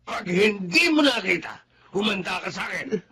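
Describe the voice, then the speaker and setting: Abusive uncle, radio drama. High male voice, hot-tempered and threatening. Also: animated, normal speed, sharp tone, breathy edge, heavily acted. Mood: angry, threatening. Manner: barking, scolding, menacing. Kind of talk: Filipino radio drama acting.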